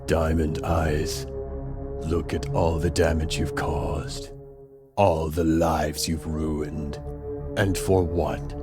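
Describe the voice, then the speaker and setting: A man's voice: extremely low pitch, level tone, extremely slow, over loud music, heavily acted. A man playing a grandiose, menacing middle-aged supervillain with a god complex in a radio drama, speaking in a theatrical, pompous manner. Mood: cold, gloating.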